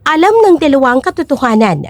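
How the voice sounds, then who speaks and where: A female narrator reads a scripted drama. Her voice sits high and moves with lively, sweeping intonation, in a dramatic storytelling style. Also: quick pace.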